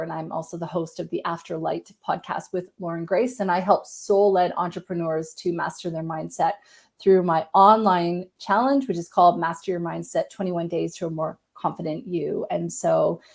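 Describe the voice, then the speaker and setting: Woman host, a bit husky from a cold. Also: fairly low pitch. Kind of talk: live-streamed casual chat.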